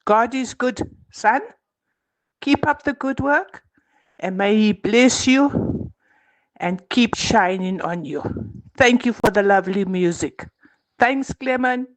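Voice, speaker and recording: A woman leaving a voicemail for a radio show, speaking slowly and offering blessings like a proud elder. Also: fairly high pitch, poor audio. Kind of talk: voicemail message.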